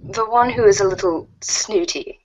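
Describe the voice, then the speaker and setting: A woman's voice: medium pitch, moderate pace, background noise, natural voice. A woman voicing a formal, curious alien troll girl with wordy, stilted phrasing, in an amateur reader's theater. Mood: prim.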